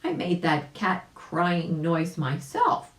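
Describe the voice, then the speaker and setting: A woman's voice: on the low side, slow pace. A woman voicing a small, proud mother catbird in a children's story, in a playful singsong. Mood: matter-of-fact. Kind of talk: children's storybook character reading. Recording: roomy, echoing sound.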